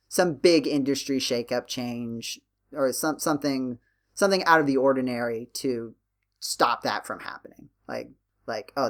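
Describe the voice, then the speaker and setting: A man hosting a casual podcast discussion, talking in a halting way and circling back as he thinks aloud. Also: mid-range voice. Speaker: podcast host.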